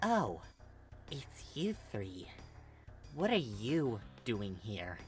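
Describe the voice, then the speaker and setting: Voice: mid-range voice, big pitch swings, very slow, faint music behind, voice pushed down. An amateur fan fiction reader voicing a short-tempered young woman, an older sister holding back her fury or screaming it out. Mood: restrained anger. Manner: forced composure breaking into furious screaming.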